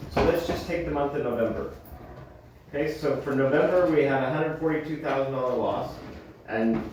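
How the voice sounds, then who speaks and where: A man's voice: medium pitch, distant mic. Man in a very echoey, noisy meeting room. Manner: explanatory, checks understanding with okay. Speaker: finance officer presenting to a board. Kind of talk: explaining financial figures at a meeting. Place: indoor public board meeting room.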